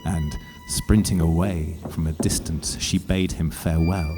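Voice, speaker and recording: A man giving an intense, deliberate and expressive dramatic reading of Romantic verse as an actor. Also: sits low, over music. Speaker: actor reciting poetry. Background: loud musical accompaniment.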